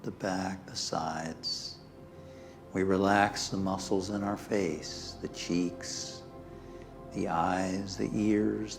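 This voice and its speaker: A man with a fairly deep voice leads a guided meditation very slowly. He is calm and soothing, leaving pauses between short phrases and softly letting them fade. Faint music plays behind him. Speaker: minister leading a guided meditation. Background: background music.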